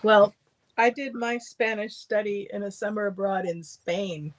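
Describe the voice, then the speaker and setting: A woman's voice: mid-range voice, measured pace, poor audio. A woman co-hosting a casual live stream, thoughtful and wry, often laughing at herself. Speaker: co-host.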